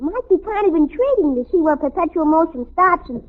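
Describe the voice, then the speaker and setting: A woman's voice: extremely high pitch, expressive, fairly quick, narrow, tinny audio. A woman playing a brainy, droll youngster in 1940s radio comedy, wry and mock-intellectual. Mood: scheming curious. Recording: noisy, thin old radio recording.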